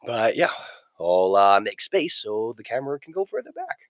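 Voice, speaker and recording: A man chatting on a casual home podcast, his voice raspy and a little worn from a cold he is getting over. He teases his friend dryly, and his pitch moves around a lot. The sound is dry and close. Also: sing-song, moderate pace, no echo, dull audio. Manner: dry teasing, laughs a lot. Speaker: podcast co-host. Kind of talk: casual chat.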